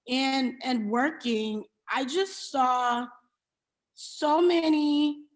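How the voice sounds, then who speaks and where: An American woman from New York City giving reflective, candid interview answers, explaining and restating her points for emphasis. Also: a little high. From New York City, USA. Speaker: interview guest, beauty brand founder and CEO.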